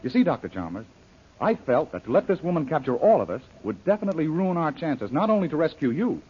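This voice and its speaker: An actor playing a brave, modest adventurer in an old radio serial, laconic and husky. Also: male voice, fairly high pitch, big pitch swings, quick pace. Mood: steady, reassuring. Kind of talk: 1930s radio serial acting. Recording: dull, lo-fi old radio recording.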